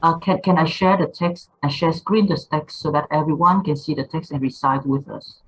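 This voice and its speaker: A man whose first language is Vietnamese, haltingly asking a question in English on a video call. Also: a little high, breathy edge, poor audio. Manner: hesitant, searching for words. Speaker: participant.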